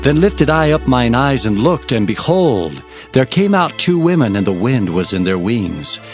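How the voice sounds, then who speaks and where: An actor playing an earnest Hebrew prophet, narrating visions in a solemn, emphatic, declamatory scripture-drama style. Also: male voice, medium pitch, very animated, measured pace. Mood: solemn wonder. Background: music.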